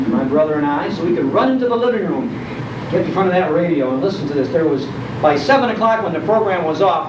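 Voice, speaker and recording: Older American male public speaker, humorous storyteller, high voice, dull echoey hall recording. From Chicago, United States. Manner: storytelling, rhetorical questions, humorous. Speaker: public speaker on old-time radio. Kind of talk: nostalgic talk to a live audience. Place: indoor program hall before an audience. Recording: echoey hall, distant mic, noisy, dull.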